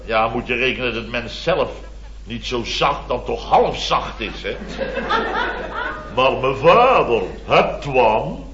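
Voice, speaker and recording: A man's voice: medium pitch, sing-song, slow, background noise. Blustering married man, echoey old radio. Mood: exasperated storytelling. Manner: exasperated, rambling, mimics others mockingly. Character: blustering, indignant married building contractor telling weekend woes. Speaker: comic actor in a radio serial. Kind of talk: comic radio monologue, conversational anecdote telling. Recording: muffled old radio, echoey, audience laughter.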